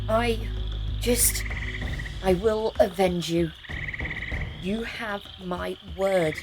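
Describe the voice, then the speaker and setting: An actress in an audio drama playing a desperate, defiant rebel, intense, anguished and halting. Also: female voice, lowish voice. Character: desperate, defiant female rebel swearing revenge.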